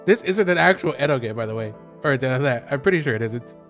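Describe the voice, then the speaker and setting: A man's voice: medium pitch, expressive, fast, a bit bright. A man playing a game as a streamer, talking through it aloud in let's play style. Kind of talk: casual let's play commentary. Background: game music.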